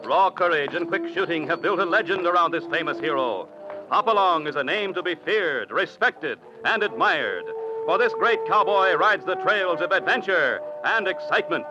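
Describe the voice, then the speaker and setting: A man's voice: sits high, expressive, normal speed, dull audio. Polished vintage radio announcer man. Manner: smooth, polished, emphatic delivery. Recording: vintage radio broadcast with music.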